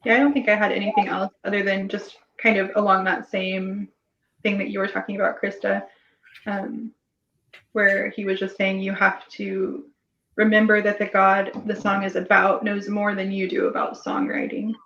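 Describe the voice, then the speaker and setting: A woman's voice: mid-range voice, flat delivery, moderate pace, a bit bright, a little hiss or hum. A woman chatting casually as a guest in a book discussion, recorded with roomy, dull call audio.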